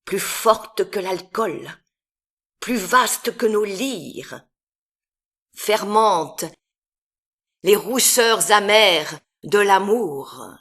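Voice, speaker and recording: A woman reads literary verse aloud with a mid-range voice. Her delivery is extremely slow and deliberate, leaving long pauses between phrases. The recitation is dramatic and expressive. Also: medium pitch. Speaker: poetry reader.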